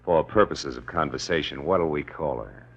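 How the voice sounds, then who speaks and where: A man's voice: rumbling low, normal speed, gravelly, slightly rough, full character voice. An American man playing a wry private detective in a 1940s radio drama, with dry, clipped, sardonic delivery. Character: wry, hard-boiled male private detective. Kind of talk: 1940s radio detective drama acting and first-person narration. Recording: dull vintage radio recording, faint music.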